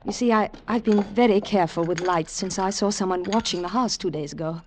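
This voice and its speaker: An actress playing a nervous, careful underground agent, hesitant and suspicious, in 1940s radio style. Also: female voice, mid-range voice, a little fast, a little mellow, close to an everyday voice. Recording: noisy old 1940s radio broadcast.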